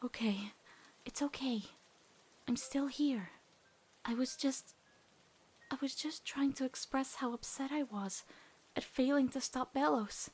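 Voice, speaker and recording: A woman voicing an anguished, guilt-ridden teenage girl in an emotional cartoon comic dub, with a raw, halting delivery. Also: sits high, darkish tone, breathy edge. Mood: soft, soothing regret.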